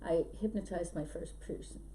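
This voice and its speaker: Low, mellow-voiced woman telling stories. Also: moderate pace. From Belgium, raised in Canada. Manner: chatty and storytelling, laughs often. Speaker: hypnosis trainer, podcast guest. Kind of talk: casual interview chat. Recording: faint background noise.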